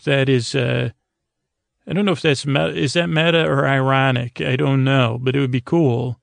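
A man hosting a bedtime podcast in a rambling, halting, goofy monologue, repeating and stammering as he drifts along, with a creaky, gravelly voice. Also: medium pitch, no room sound. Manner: goofy, oddball. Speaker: podcast host.

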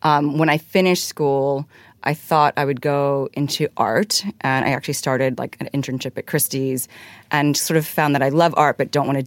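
A woman's voice: very deep voice, steady tone, moderate pace, no room sound. Chatty woman interviewee, dry sound. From Hong Kong. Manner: chatty, many fillers and restarts. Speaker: guest. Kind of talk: casual interview answer. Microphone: studio mic.

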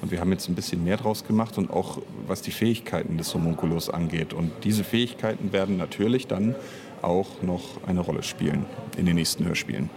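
A man giving unscripted interview answers in a rambling, halting way as a writer and director. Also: low-pitched, noisy background. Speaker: interview guest, audio drama author and director.

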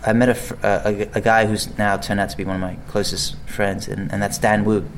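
A man's voice: fairly low pitch, flat delivery, brisk, a little hiss or hum. A man, an actor, chatting casually in an interview, rambling with many restarts and fillers. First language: English. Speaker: actor guest.

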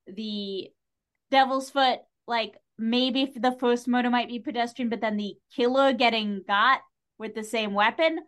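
Higher-pitched woman in casual chat. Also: fairly even intonation, unhurried, a bit bright. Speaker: podcast guest.

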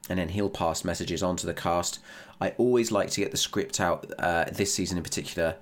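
A British man giving a casual, candid, self-deprecating behind-the-scenes audio diary as a podcast writer, with some swearing. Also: sits low.

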